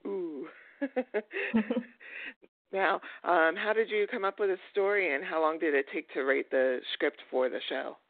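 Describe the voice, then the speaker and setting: A woman's voice: fairly low pitch, measured pace, no echo. A woman hosting a podcast interview, asking friendly questions with hesitant ums. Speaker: podcast host. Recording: dry, tinny phone-like line.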